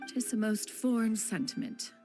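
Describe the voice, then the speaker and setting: A woman's voice: medium pitch, sing-song, moderate pace, warm tone. A woman playing a haughty, eloquent ancient immortal bird in human form in a video game, speaking in grandiose, archaic phrases. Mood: aloof, dismissive. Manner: archaic, grandiose, self-referring as "one". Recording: music behind the voice.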